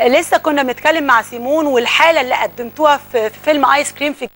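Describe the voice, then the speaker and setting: A woman with an Egyptian accent hosting a talk show, asking questions briskly. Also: high voice, fairly bright tone. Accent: Egyptian Arabic. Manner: brisk, prompting with short murmurs. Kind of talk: interviewing.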